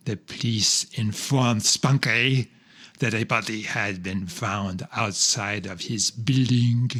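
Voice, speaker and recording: A man narrating a radio serial in a scripted, dramatic style, delivering it deliberately in short, separated phrases. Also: fairly low pitch, bright, forceful tone.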